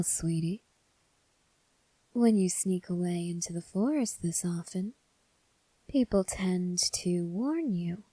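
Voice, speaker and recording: A woman playing a soft-spoken, menacing female vampire in an ASMR roleplay, coaxing the listener in a slow, intimate hush that often drops into whispers. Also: on the low side, husky, no room sound, poor audio. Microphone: close mic.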